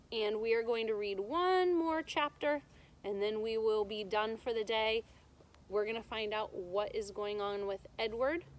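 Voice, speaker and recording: A woman teacher reading a children's storybook aloud in a deliberate, expressive way, explaining things to her students. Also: on the high side, a little hiss or hum, rough recording.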